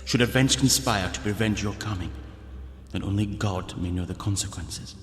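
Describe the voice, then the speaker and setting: An actor as grave, echoing Roderick Usher, gothic gentleman. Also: on the low side, close to an everyday voice. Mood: anguished pleading. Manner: formal, grave, deliberate. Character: Roderick Usher, gloomy ailing gentleman pleading by letter. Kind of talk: gothic radio drama letter reading. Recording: echoey long reverb, background noise.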